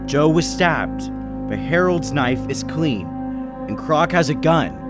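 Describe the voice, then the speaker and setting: An actor playing a level-headed businessman who narrates a murder mystery in old-time radio style, measured and reasoning. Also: fairly high pitch, lively intonation. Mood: calm, reasoning. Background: music behind the voice.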